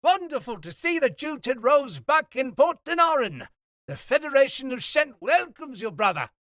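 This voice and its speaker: A man voicing a thin middle-aged harbor man who greets loudly with a heavy accent. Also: very animated, normal speed, no echo, studio quality, heavily acted. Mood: exuberant. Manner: booming, heavily accented greeting.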